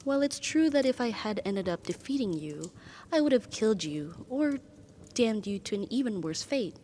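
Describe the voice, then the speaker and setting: A woman's voice: medium pitch, darkish tone, slight background noise, natural voice. A woman voicing a powerful female demon bound as a devoted, possessive servant, speaking formally and breathily in an ASMR roleplay. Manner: formal, stately, breathy sighs and whispers.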